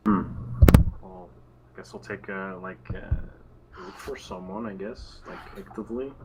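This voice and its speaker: Very deep-voiced casual man, noisy mic. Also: normal speed, vocal fry. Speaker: tabletop game player. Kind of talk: casual chat during a roleplaying game.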